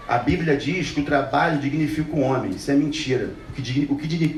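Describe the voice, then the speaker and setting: A man's voice: mid-range voice, a little mellow. A man from Brazil, speaking bluntly and passionately to an audience and repeating phrases for emphasis. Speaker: speaker telling his life story. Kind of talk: earnest talk to an audience. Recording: roomy, distant mic with faint noise.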